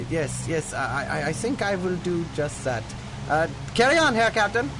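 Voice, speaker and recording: A haughty German doctor from a pulp radio adventure. The voice sits high and leaps about with a German accent, pompous and formal, halting when uneasy. A heavy vehicle drone runs behind it. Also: male voice, big pitch swings, noisy background. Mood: uneasy, polite. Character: haughty German villain doctor, excitable and suspicious. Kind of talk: 1930s-set radio adventure acting.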